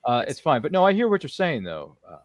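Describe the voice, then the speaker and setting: A man's voice: fairly high pitch, huge pitch swings, fast talker, no room sound. A man hosting a casual livestream chat in a jokey, chummy, rambling way. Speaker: podcast host.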